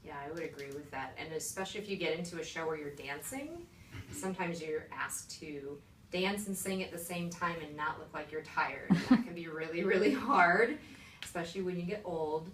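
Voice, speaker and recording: A woman's voice: low voice, brisk, fairly bright tone. A woman who acts and dances answers interview questions casually, reflective and plain-spoken as she explains to a child. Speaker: interviewee, stage actress and dancer. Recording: echoey room, distant mic, background noise.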